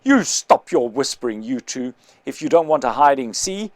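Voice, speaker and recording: A man reading an audiobook, voicing a rough, bullying big man who shouts coarse threats. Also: medium pitch, very animated, moderate pace, dry recording, lightly acted.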